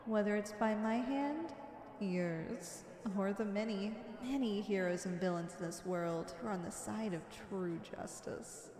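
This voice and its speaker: A woman's voice: mid-range voice, a little slow, a crowd in the background, lightly acted. A woman playing a flirty, confident female supervillain in an ASMR roleplay, coy and teasing as she sweet-talks the listener. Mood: earnest, resolute. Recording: echoey with long reverb.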